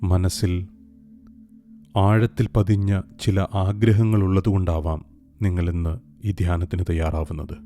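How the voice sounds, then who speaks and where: A man narrating a scripted guided meditation in a calm, soothing, unhurried way with long pauses. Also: deep voice. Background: soft meditation music with singing bowls.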